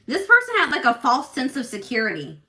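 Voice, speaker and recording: A woman doing a casual tarot reading for her viewers, talking in an assertive, loud way with lots of restarts and "like". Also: high voice, brisk. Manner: animated, many restarts and fillers. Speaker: tarot reader.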